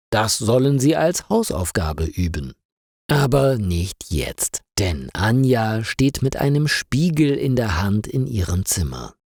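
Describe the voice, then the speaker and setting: A man's voice: on the low side, darkish tone, slightly rough, dry recording, studio quality. A man narrating a scripted story for learners, in simple, carefully articulated sentences.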